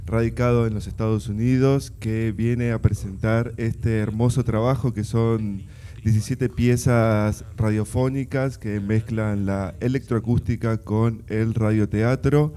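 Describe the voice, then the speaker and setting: A man's voice: on the low side, mellow. A man with an Argentine accent presenting a radio interview as its host. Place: radio station session with a present audience.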